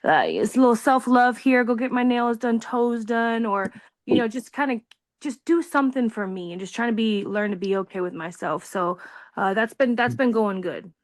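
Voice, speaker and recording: A woman from California sharing candidly on a video support call, earnest and halting. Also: on the high side, expressive, dry recording. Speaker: support group member. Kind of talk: casual group chat.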